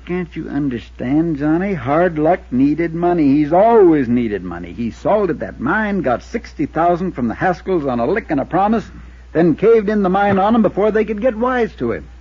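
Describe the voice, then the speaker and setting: An anxious small-town American man in a vintage radio play, his voice husky and rough. He sounds earnest, insistent and hesitant under pressure, on a dull, lo-fi recording. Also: on the high side, expressive, moderate pace, no echo. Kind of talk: 1950s radio drama acting.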